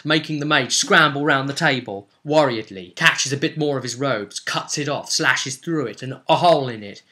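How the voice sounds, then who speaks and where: A man's voice: mid-range voice, bright, forceful tone. A man narrating a tabletop role-play as game master, theatrical and dramatic, in short punchy phrases.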